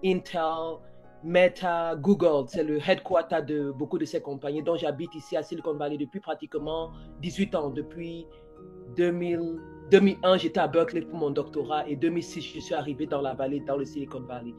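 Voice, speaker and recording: A woman's voice: low-pitched, fairly even intonation, a little fast, darkish tone, faint music behind. A woman in her forties from Cameroon, speaking as a podcast guest, slipping English words into her French with many restarts. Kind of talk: conversational interview.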